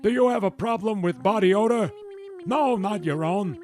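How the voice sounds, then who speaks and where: A man voicing a sleazy, fast-talking male mortician in a comic radio commercial, with pushy salesman patter. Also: sits high, a little mellow, natural voice. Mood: salesy.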